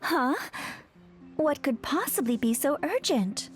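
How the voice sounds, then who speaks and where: A woman's voice: sits high, very animated, slow pace, exaggerated voice. A woman playing a polite, earnest young female shop worker in a video game. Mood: puzzled. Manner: courteous, earnest. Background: game music.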